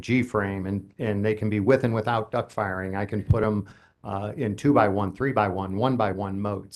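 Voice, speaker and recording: A man testifying as an expert witness at a regulatory hearing, explaining technical planning points in a steady, explanatory way. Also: fairly low pitch, creaky. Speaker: utility company expert witness.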